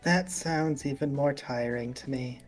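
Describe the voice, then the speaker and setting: Woman acting cold game-story mistress, haughty, imperious, deep mellow female voice, music behind. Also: unhurried. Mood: cool. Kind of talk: amateur game script read-along.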